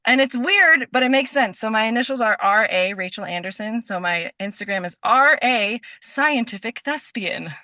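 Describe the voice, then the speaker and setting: A woman's voice: a little high, animated, moderate pace, hardly any pauses, pushed and bright, no echo. A woman chatting casually as a podcast guest, with a giggly, self-deprecating way of talking. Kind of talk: casual chat.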